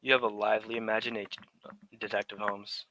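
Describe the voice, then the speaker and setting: An actor playing a brazen, smooth-talking impostor in a radio drama, formal and defiant. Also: male voice, on the low side, little pitch movement, fast talker, bright, forceful tone, no room sound, poor audio.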